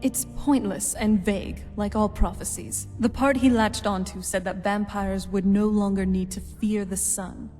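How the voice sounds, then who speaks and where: A woman's voice: medium pitch, steady tone, unhurried, few pauses, dark tone, lightly acted. An actress playing a guarded, self-assured female vampire in a video game, talking conversationally with dry sarcasm. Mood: wry, confiding. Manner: conversational, dryly sardonic. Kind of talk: video game dialogue acting. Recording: reverberant hall, faint music behind.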